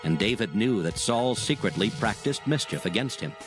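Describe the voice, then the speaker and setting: A man narrating scripture in a solemn, measured, dramatic storytelling style. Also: on the low side, expressive. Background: music bed.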